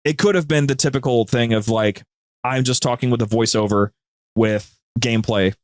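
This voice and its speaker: A man's voice: medium pitch, normal speed, no room sound, studio quality. A man talking casually as a podcast guest, explaining things in an enthusiastic storytelling way. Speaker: podcast guest and co-host of a media group's show. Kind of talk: casual interview talk.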